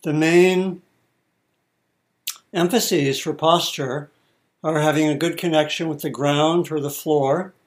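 A man teaching meditation, giving guided instructions in short, measured phrases with pauses between them. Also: a little high, steady tone.

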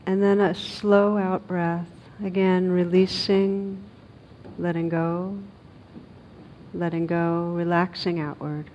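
Woman meditation teacher, lowish soft mellow voice, gentle and slow guided meditation, long pauses, bit of noise on the recording. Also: flat delivery. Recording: dull recording with noise and rumble.

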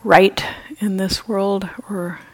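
Slow, creaky-voiced woman guiding meditation. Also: mid-range voice, little pitch movement, low-quality recording. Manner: gentle, unhurried, with long pauses. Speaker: meditation teacher. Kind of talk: guided meditation.

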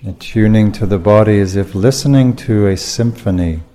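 A man leading a guided meditation as a teacher, speaking in measured, unhurried phrases with long pauses. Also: fairly low pitch, dark tone.

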